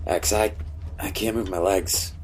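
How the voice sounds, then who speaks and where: An actor playing a badly wounded, weary captain in an audio drama, with weak, labored, halting and terse speech. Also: deep voice, steady tone. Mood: dazed.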